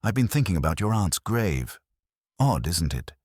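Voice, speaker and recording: Courteous radio-mystery inspector, performed by a man: extremely deep, mild and patient, gently ironic and pointed. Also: sing-song, normal speed, slightly rough, no echo, clean studio sound, heavily acted. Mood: pleasantly ironic. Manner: mild, pleasant, quietly pointed. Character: calm, mildly ironic male police inspector, courteous and patient.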